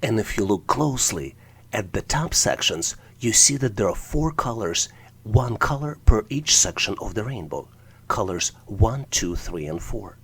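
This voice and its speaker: A man's voice: fairly low pitch, lively intonation, unhurried, fairly bright tone, breathy edge. A man narrating an explanatory tutorial as an instructor, walking through things methodically, step by step. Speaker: test-prep instructor.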